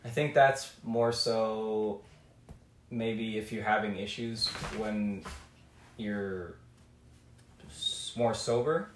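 A man's voice: fairly low pitch, steady tone, drawn-out words, long pauses, echoey room, distant mic, slight background noise. A man from Reno, a podcast guest, talking candidly and thoughtfully in a laid-back way. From Reno, Colombian heritage. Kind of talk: casual podcast chat.

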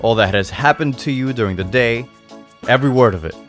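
A man's voice: medium pitch, fairly quick, soft background music, pitched above the performer's own voice, lightly acted. A man voicing a sly old shopkeeper in a storybook tale, patient, persuasive and emphatic, savoring his words. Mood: coaxing. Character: sly old male shopkeeper selling potions, patient and coaxing. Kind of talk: storybook character voice in a read-aloud tale.

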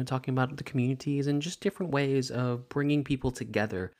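A man with a voice of ordinary pitch hosts a podcast, unhurried and gentle in how he speaks. He talks with the measured calm of a meditation teacher. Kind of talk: podcast conversation.

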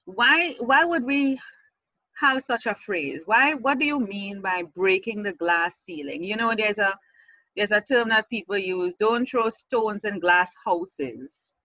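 A woman's voice: mid-range voice, a little slow, sharp tone, dry recording, muffled recording. A woman from the Caribbean hosting a casual interview, chatty and curious, laughing often. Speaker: podcast host.

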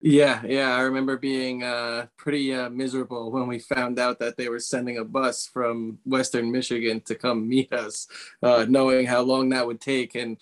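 A man talks as a guest on a sports podcast. His voice is mid-pitched and quite monotone, moving little as he recollects things in an informal, conversational way. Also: moderate pace. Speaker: podcast guest, former baseball player. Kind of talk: casual recollection.

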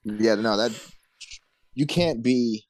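A man's voice: medium pitch, slow pace, a little hiss or hum, rough recording. A man co-hosting a comedy podcast, tossing out short, slangy wisecracks in a laid-back way. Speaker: podcast co-host. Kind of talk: casual comedic banter.

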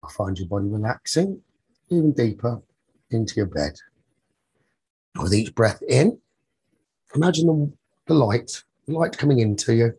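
A man leading a guided sleep meditation as a teacher, soothing and husky, speaking in short phrases with long pauses. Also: mid-range voice, very animated, warm tone, no room sound, poor audio.

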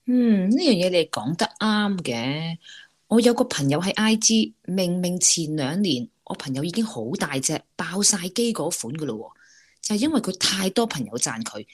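A woman's voice: fairly low pitch, big pitch swings, normal speed. A woman with a Hong Kong Cantonese accent co-hosting a radio chat, musing and teasing. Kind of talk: casual chat.

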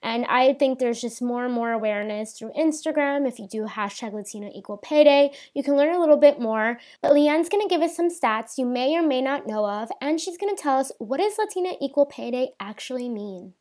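A woman's voice: sits high, lively intonation, brisk, hardly any pauses, darkish tone. A young adult woman co-hosting a podcast, introducing the next topic in an upbeat way. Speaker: podcast co-host.

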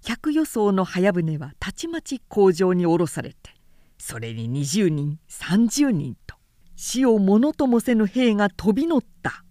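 Slow, expressive woman narrating a historical novel. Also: mid-range voice, no echo, studio quality. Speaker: narrator reading literature aloud.

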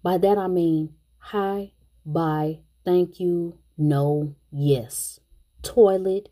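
Woman reviewer, mellow lowish voice, frank and chatty. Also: fairly even intonation, very slow. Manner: thinking out loud, frank and opinionated. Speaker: show reviewer. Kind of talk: casual commentary.

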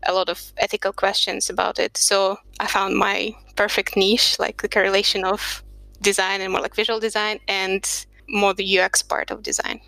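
A woman's voice: medium pitch, flat delivery, moderate pace, vocal fry, sharp tone, no echo, low-quality recording. A woman from Russia who is a podcast guest, talking through her career in a reflective, chatty way, recorded at a home desk surrounded by books. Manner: reflective, chatty, light laughs. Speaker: podcast guest, product designer. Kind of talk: casual interview chat.

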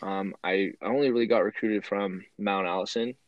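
Deep-voiced young Canadian man recounting. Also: fairly quick, dry recording, dull audio. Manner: relaxed, thoughtful, frequent ums. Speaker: guest, former university football player. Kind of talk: interview storytelling.

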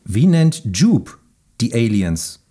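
Husky, mellow male podcast host. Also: medium pitch, very animated, slow. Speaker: podcast host running a film quiz. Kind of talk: casual film chat.

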